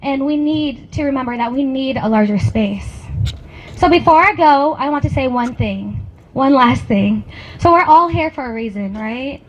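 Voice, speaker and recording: High-voiced woman giving a rousing rally speech. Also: lively intonation, normal speed. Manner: rousing, repeats phrases for emphasis. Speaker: student rally speaker. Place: outdoor campus rally. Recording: dull lo-fi outdoor recording, faint noise.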